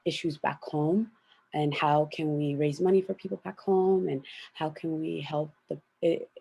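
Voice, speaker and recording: A woman's voice: low-pitched, fairly even intonation, a little slow, vocal fry, mellow, breathy edge, dull audio. A young woman speaking thoughtfully on a discussion panel, with plenty of fillers. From United States.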